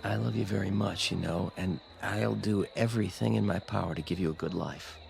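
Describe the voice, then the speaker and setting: An actor playing a devoted, formal fiancé in a radio drama, solemn and measured, with a gravelly voice. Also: male voice, sits low, fairly even intonation. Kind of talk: dramatic radio play acting.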